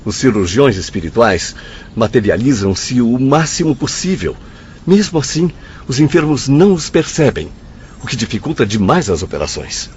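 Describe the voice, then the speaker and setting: An actor with a Brazilian accent playing an earnest, deferential spirit aide in a radio drama, speaking formally. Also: male voice, medium pitch, big pitch swings, moderate pace, darkish tone, natural voice. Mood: urgent. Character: earnest, deferential male spirit aide reporting to his superior. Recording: dull lo-fi audio, faint noise.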